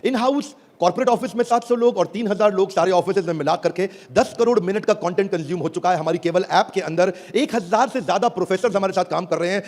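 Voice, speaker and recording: Theatrical high-voiced man on stage. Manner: theatrical, calls out to the audience and prompts replies; swings between booming and hushed delivery. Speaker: business speaker presenting a product launch. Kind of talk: high-energy stage presentation. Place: stage before a live audience. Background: audience.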